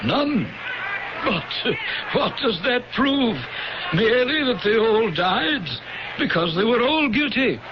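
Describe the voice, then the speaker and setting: A man's voice: very high-pitched, expressive, slow pace, exaggerated voice. An actor playing a complacent, patronizing Roman senator in a radio drama, fussing and repeating his words. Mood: smugly dismissive. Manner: fussy, repeats words, condescending. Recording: muffled, noisy old radio broadcast.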